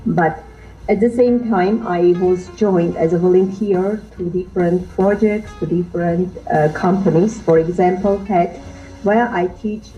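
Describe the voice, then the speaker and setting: Halting Armenian woman, dark voice. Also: fairly low pitch, steady tone, dull audio, faint music behind. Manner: searching for words, halting. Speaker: guest teacher. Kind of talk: podcast interview.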